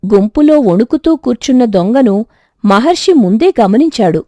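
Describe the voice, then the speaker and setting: A woman narrating a scripted moral tale in an expressive storytelling manner. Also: fairly high pitch, normal speed, no echo, clean studio sound.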